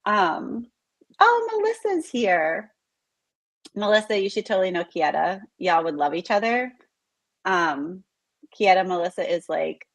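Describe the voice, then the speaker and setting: Woman, interview host, chatty, lots of fillers, a bit raspy, dry close sound. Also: fairly low pitch, a bit bright, no room sound. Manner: chatty, filler-heavy, says "like" often. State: slightly raspy voice from talking all morning. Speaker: host of live interview series. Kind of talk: casual live interview hosting. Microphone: video call.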